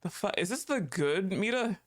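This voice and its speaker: A woman's voice: mid-range voice, lively intonation, moderate pace. A woman streamer giving casual, teasing commentary as she plays, chatting to the game and laughing often.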